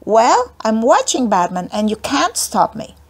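Storybook schoolboy, played by a woman: sulky, bossy, higher pitch. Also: wildly swooping pitch, measured pace, husky, full character voice. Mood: defiant. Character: bossy, sulky second-grade boy. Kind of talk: children's audiobook character voice.

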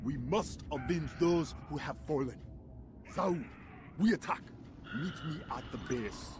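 Man playing a scornful, high-pitched alien warrior leader. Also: measured pace, lightly acted. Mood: vengeful. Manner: commanding, formal, scornful. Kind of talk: video game character acting. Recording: clear noise behind the voice.

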